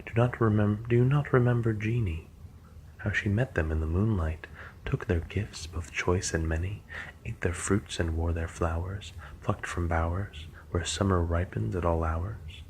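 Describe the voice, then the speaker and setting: A man reading the lines of a cautious young woman who warns her sister, softly and gently. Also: low-pitched, normal speed, a little hiss or hum, poor audio. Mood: anxious pleading.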